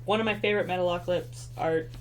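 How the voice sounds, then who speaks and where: A woman's voice: lowish voice, steady tone, fast talker, a little hiss or hum, poor audio. A young woman hosting an art stream, chatting casually with lots of fillers as she draws. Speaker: artist hosting a drawing stream. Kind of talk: casual chat while drawing.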